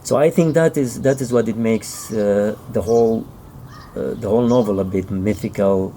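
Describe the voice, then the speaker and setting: A man speaking as an author in a literary interview, thoughtful and halting, often repeating words as he searches for the next phrase. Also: lowish voice, warm tone, a little hiss or hum. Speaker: author interviewed about his novel.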